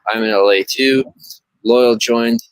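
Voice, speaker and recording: A man from the USA livestreaming from his phone under a highway bridge, reading out viewer joins and gifts in a running list. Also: steady tone, slow pace, a little hiss or hum, rough recording. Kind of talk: live stream chat reading.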